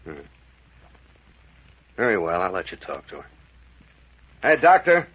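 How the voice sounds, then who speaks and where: An actor playing a gruff, commanding captain in an old-time radio mystery, brusque and clipped in his orders. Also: sits low, huge pitch swings, measured pace, full character voice. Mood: brisk, probing. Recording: muffled vintage radio recording.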